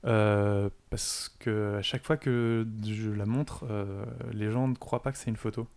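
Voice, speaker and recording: A man with a somewhat low voice, talking casually as a guest. He hesitates often, filling pauses and restarting his sentences as he describes something. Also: fairly even intonation, darkish tone, clean tone. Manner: hesitant, many fillers and restarts. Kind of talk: casual chat.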